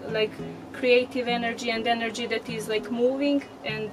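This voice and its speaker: A woman from Serbia speaks in a fairly high, steady voice that stays level rather than rising and falling. She reflects thoughtfully in a group circle, often pausing and filling gaps with "like." Music plays clearly beneath her. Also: low-quality recording. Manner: thoughtful, searching for words with many "like" fillers. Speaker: workshop participant.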